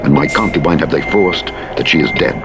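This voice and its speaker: A man reading scripture as a formal, solemn and measured scripted narration. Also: very deep voice, expressive, loud music behind the voice. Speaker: audiobook Bible narrator. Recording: loud truck engine noise, played as radio. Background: truck simulator engine, vehicle sounds, reversing beeps.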